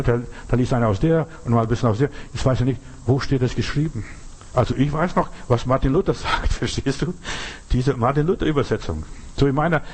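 Husky preacher man, earnest and emphatic. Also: medium pitch, a little mellow. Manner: earnest, emphatic, asks "verstehst du?" often. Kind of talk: sermon.

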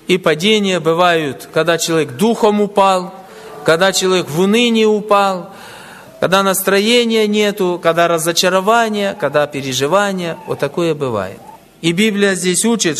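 A man's voice: high-pitched, a bit bright, long reverb tail. A man preaching a sermon to brothers and sisters, weaving in stories of church members he met. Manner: tells anecdotes, quotes people.

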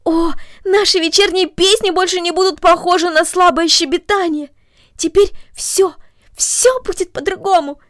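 Woman voicing an excitable high-pitched young girl. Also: moderate pace, no room sound, studio quality. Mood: delighted, gushing. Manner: exclamatory, gushing. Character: excitable, enthusiastic young girl who loves singing.

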